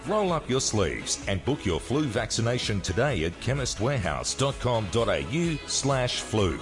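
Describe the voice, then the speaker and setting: A man reading a scripted station promo in a smooth announcer style. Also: mid-range voice, very animated, moderate pace, over music.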